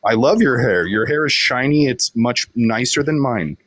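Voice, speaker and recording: A man calling into a livestream, joking in a deadpan, absurd way in casual chat. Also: medium pitch, normal speed, no echo. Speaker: caller.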